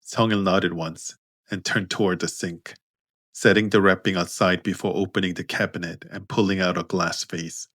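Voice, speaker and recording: Deep-voiced man narrating fiction. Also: fairly even intonation, moderate pace, fairly bright tone, slightly rough, no room sound, clean studio sound. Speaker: author and narrator.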